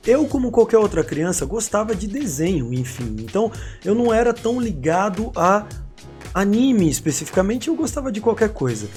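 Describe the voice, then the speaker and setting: Mellow-voiced Paulista man in his thirties. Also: fairly high pitch. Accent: Paulista Brazilian Portuguese. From São Paulo, Brazil. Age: 30s. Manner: casual and reflective, with street slang. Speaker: YouTuber. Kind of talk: personal storytelling to camera.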